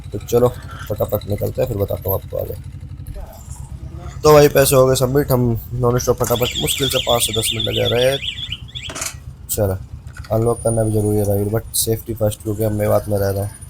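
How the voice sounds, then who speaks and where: A man's voice: fairly low pitch, darkish tone, poor audio. A man tells a story casually and colloquially, mixing in English words, as he vlogs from a motorbike. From Haryana, India. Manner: chatty, colloquial, slangy Hindi-English mix, addressing viewers as brother. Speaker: motovlogger. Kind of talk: casual vlog storytelling while riding a motorbike. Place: outdoors, riding a motorbike on the road. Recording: clear road and engine noise. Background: vehicle and outdoor ambience noise.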